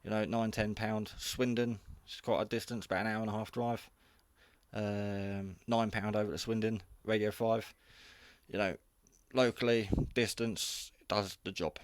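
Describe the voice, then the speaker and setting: A man with a fairly low voice talks through a casual product review. He is unscripted and rambles a little, staying matter-of-fact and modest about his own know-how. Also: fairly even intonation, normal speed, dry recording. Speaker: hobby radio reviewer.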